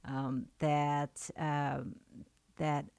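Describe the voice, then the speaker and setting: Low gravelly woman guest, thoughtful. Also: flat delivery, very slow, a little mellow, no echo. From China. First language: Chinese. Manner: thoughtful, many fillers and restarts. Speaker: poet and English professor. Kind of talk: radio interview guest. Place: radio studio.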